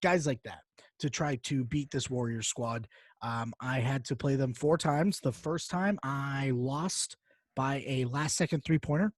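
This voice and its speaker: A man co-hosting a podcast, telling gaming stories in an animated, casual, sweary way. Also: medium pitch, measured pace, no room sound. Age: adult, parent of a young child. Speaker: podcast co-host. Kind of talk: casual chat, long gaming anecdote.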